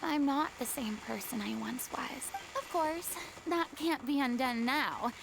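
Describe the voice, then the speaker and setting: A woman's voice: high-pitched, measured pace, vocal fry, noisy background. A woman playing a proud, stubborn yet self-doubting young retired stage star in an anime video game, with dramatic, formal delivery. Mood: resigned, wistful.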